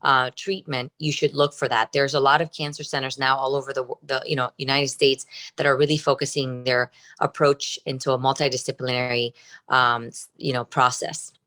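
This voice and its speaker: A Cuban-born woman whose first language is Spanish speaks in a low, earnest voice. She answers interview questions as a surgeon and explains with analogies. She sounds middle-aged. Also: level tone, normal speed, a bit bright. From Cuba. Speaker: breast surgeon.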